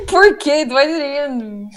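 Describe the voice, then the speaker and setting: A woman's voice: high voice, a bit bright, slight background noise. A woman reading fan fiction aloud in a casual, informal way, slipping into giggly banter as co-host.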